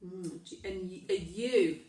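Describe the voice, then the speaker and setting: Slow-paced woman storyteller in an echoey room. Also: on the low side, warm tone. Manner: deliberate, emphatic storytelling for young children. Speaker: primary school storyteller. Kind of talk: children's story read aloud, with questions to listeners. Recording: very echoey room, distant microphone.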